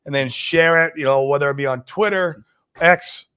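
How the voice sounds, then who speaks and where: Agreeable man, slightly high voice, dry sound. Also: normal speed, pushed and bright, clear, resonant tone, dry recording. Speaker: host. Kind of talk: casual live-stream chat.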